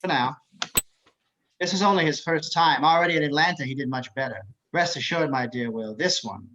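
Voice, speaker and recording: A man's voice: fairly high pitch, a little fast, pushed and bright, slight background noise. A man playing an imprisoned psychiatrist in a table read, sly and courtly, with precise, politely mocking delivery. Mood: courteous, mocking. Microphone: video call. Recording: dull video-call audio.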